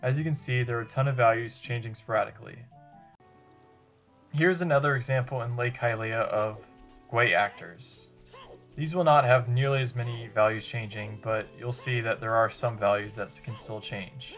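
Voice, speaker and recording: A man's voice: medium pitch, normal speed, a bit bright, soft background music. A man narrating a tutorial over gameplay in a matter-of-fact, step-by-step way. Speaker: video presenter demonstrating a game glitch.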